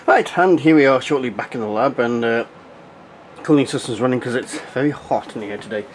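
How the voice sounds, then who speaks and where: A man's voice: medium pitch, fairly quick. Male hobby vlogger, mid-range voice, casual muttered commentary with a jokey streak, slightly noisy amateur recording. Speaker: video host. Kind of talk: casual hands-on demonstration vlog. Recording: noisy amateur camera audio.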